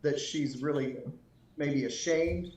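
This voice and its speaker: A man with a slightly high voice chats casually as a co-host. His phrasing is hesitant and piecemeal, and the recording has a noticeable room echo. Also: takes it slowly, a little mellow. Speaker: show co-host and writer. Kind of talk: casual chat, describing characters. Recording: roomy, echoing sound.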